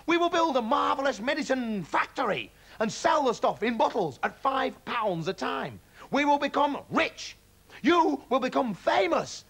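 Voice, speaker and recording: A man voicing an excitable, scheming middle-aged farmer father who gushes about his plans. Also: sits high, normal speed, creaky, slightly rough, voice pushed up, heavily acted. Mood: overexcited. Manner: breathless, gushing enthusiasm.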